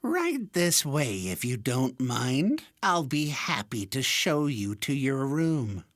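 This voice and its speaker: An actor playing an eerie, courteous old hotel keeper in a video game, with unctuous politeness and slyly menacing teasing. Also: male voice, mid-range voice, big pitch swings, slow, fairly bright tone, slight background noise. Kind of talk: video game cutscene acting.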